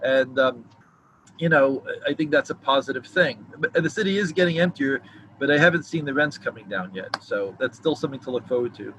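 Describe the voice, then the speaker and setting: A man's voice: mid-range voice, lively intonation, brisk, a bit bright, slight background noise, rough recording. A novelist in his sixties from New York City, answering interview questions in a rambling, reflective way with many restarts. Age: 60s. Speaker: novelist, guest. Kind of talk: casual video interview.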